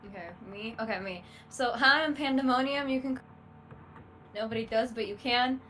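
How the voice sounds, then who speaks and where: A woman's voice: fairly high pitch, normal speed, sharp tone, slight background noise. A woman guest on a reaction panel, chatting casually in a hesitant, rambling way. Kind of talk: casual chat.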